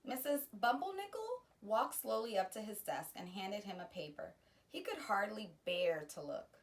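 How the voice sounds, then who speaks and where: A woman reading a children's picture book aloud, pausing to explain the story to young listeners. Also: medium pitch, big pitch swings, recorded from a distance. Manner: slow, gentle storytime delivery with explanatory asides. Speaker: children's read-aloud host.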